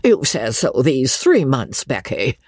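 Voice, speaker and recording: Woman putting on a husky, insistent old country baronet. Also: mid-range voice, sing-song, slow pace, no room sound, clean studio sound, full character voice. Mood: gruff, insistent. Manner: rustic ungrammatical speech, insistent. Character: gruff, blustering, pleading old country baronet.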